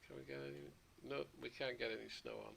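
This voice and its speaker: Male streamer, slightly hoarse, gravelly and sharp-toned, rambling chat with faint hum behind. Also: medium pitch, steady tone, fast. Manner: chatty, rambling asides. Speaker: flight sim livestreamer. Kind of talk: casual livestream commentary.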